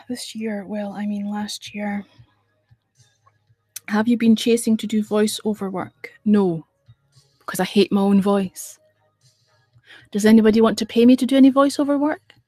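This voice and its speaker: A woman's voice: medium pitch, steady tone, a little slow, long pauses, dark tone, husky, no room sound, soft background music. A middle-aged woman hosting a casual livestream, rambling and chatting in reply to viewer comments. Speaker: radio show host on a livestream.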